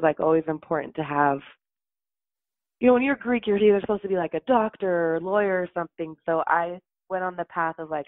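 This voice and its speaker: A woman's voice: on the low side, no echo, narrow, tinny audio. A woman from Utah chatting casually as an interview guest, laughing often and saying "like" a lot. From Utah, USA.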